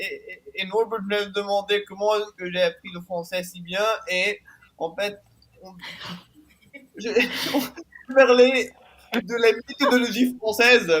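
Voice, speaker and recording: A man's voice: high voice, sharp tone, low-quality recording. A man as a guest on a video call, talking casually with stammering restarts. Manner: stammering restarts, laughs easily.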